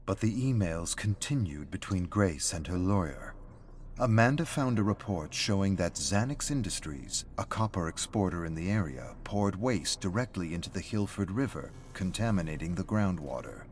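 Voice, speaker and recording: A man reading a scripted dark story as a narrator. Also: low voice, lively intonation, normal speed, slight background noise. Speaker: reader of story entries. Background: music.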